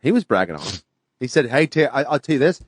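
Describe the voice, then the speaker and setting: Male podcast co-host, animated middle voice, repeats himself, loose joking chat, dry room. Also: medium pitch, quick pace, no room sound. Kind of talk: casual comedic group banter.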